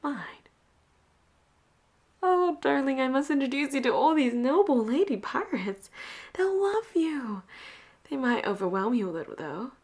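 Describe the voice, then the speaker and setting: Woman acting a breathy, flirty female pirate captain. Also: high-pitched, big pitch swings, darkish tone, heavily acted. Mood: possessive, affectionate. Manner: teasing, drawn-out endearments, often drops to a whisper. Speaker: voice actor in roleplay audio. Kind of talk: flirty roleplay audio acting, often whispered.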